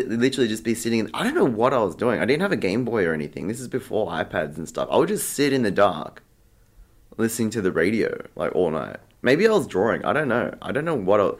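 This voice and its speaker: An Australian man chatting casually as an interview guest, telling stories in a rambling, halting way full of filler "like". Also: on the low side. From Australia, Sydney. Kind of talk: casual anecdotal chat.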